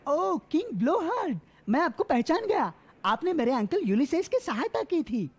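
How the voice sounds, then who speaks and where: A woman's voice: high voice, sing-song, normal speed, a little mellow. A woman playing an earnest, cautious young male adventurer in a Hindi cartoon dub, speaking with urgency. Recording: cartoon music behind the voice.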